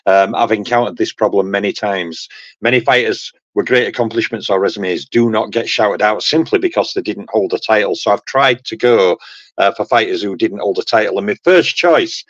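A man's voice: on the low side, normal speed, no echo, dull audio. A man on a panel over a video call, rambling and saying "okay" and "um" a lot. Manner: rambling, peppers talk with "okay" and "um". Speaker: panel guest. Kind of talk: casual panel chat. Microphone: computer mic on a video call.

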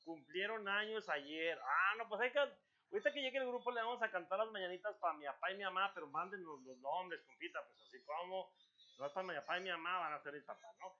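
A man hosting a studio music show, talking high and bright with a lot of hype for the crowd. He jokes and chats easily with the band. The sound is dull and lo-fi. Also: animated, quick pace. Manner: hypes up the audience, joking and chatty. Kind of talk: lively talk-show hosting. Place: TV studio set, indoors.

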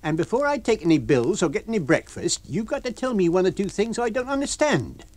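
Man voicing a shrill, scolding country landlady. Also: very animated, moderate pace, no room sound. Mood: indignant. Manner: scolding, rustic dialect speech. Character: shrill indignant country landlady, middle-aged.

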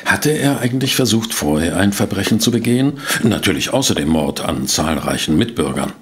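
An actor playing a polite, pensive private detective who narrates and investigates in a German audio drama. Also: male voice, low-pitched, animated, fairly quick, breathy edge. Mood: polite, probing. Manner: courteous, pensive, hesitant. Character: polite, inquisitive male private detective, narrating his case.

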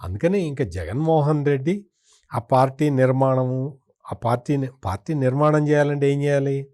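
A man gives political commentary in an interview. His mid-pitched voice carries firm opinions, and he repeats points for emphasis as he criticises. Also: normal speed, mellow.

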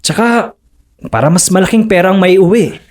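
A man's voice: sits high, animated, normal speed, darkish tone. An actor playing a proud, jealous fisherman husband and father in a radio drama, stubborn and defensive. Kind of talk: broadcast radio drama acting.

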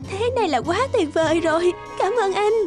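A woman voicing a young wife in a cartoon, eager to please yet wistful, soft and expressive. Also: very high voice, fairly quick. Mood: delighted, sweet. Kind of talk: Vietnamese cartoon dubbing. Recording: guitar music under the voice.

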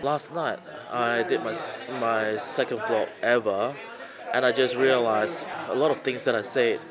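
A man's voice: medium pitch, steady tone, measured pace. A man in his thirties from Malaysia who grew up speaking several languages, vlogging to a camera held at arm's length in a convention centre. He rambles, repeats words, and sounds tired but upbeat. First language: not English. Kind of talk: casual selfie vlog. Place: large convention centre, indoors. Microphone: handheld camera at arm's length. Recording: crowd noise in a busy hall.

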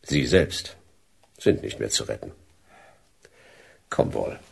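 A man's voice: a bass voice, sing-song, slow pace, creaky, a little mellow, husky, exaggerated voice. An actor playing a hard-boiled, stern male detective in a radio drama, speaking in terse, dry, clipped phrases. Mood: cold, sardonic. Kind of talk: radio drama narration and dialogue.

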